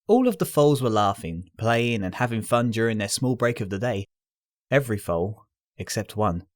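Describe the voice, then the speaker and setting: Low-voiced man narrating, close and dry. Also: lively intonation, moderate pace, no echo. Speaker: story narrator. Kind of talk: dramatic scripted fan fiction narration.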